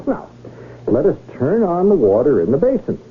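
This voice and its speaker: A man acting a shrewd, courtly lonely rich gentleman in a 1970s radio drama, with formal, florid, deliberate speech. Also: on the high side, huge pitch swings. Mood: explaining, smug. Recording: tinny, noisy old radio broadcast.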